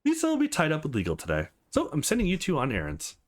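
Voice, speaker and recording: A man voicing a shrewd, sentimental old lawyer in a visual novel, speaking formally and with measured care. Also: a little high, huge pitch swings. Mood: dry, businesslike.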